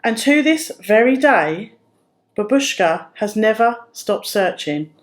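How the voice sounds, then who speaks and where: A woman reading a children's storybook aloud as narrator, in a careful, expressive way. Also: mid-range voice, slow pace.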